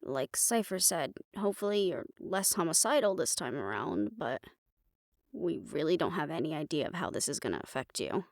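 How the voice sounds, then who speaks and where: A woman playing a calm, sensible, gently protective character with a measured, soothing manner in a fantasy audio roleplay. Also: deep voice, no echo, studio quality. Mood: gentle, concerned. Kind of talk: dramatic audio roleplay acting.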